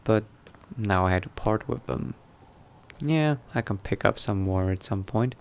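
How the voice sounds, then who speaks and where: Guy playing a fantasy game in character, talking to himself real slow, crackly voice. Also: fairly low pitch, creaky, smooth. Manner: musing to himself, unhurried. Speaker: gaming video host, role-playing player. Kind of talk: in-character improvised gameplay narration.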